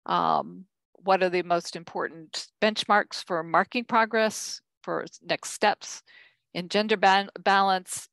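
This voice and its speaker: An older woman delivers a keynote talk over a video call, walking through slides at a measured pace. Her voice sits low and has a gravelly edge, and the sound is dry and close, with no room around it. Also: steady tone, a bit bright, no room sound. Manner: measured, reads from slides with frequent ums and self-corrections. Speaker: keynote speaker. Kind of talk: conference keynote presentation.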